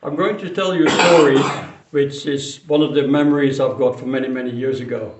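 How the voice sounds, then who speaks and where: An older man who grew up in Indonesia, fondly telling a childhood memory in an unhurried way, with pauses and restarts. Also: medium pitch, steady tone, a little hiss or hum. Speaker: storyteller. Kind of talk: personal memory told to camera. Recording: roomy echo, mic some distance away.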